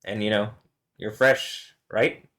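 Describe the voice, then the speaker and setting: A man's voice: steady tone, slow pace, a bit bright. A man vlogging alone, rambling and swearing, often chuckling and trailing off mid-sentence. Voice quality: deep voice. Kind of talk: rambling solo monologue to camera.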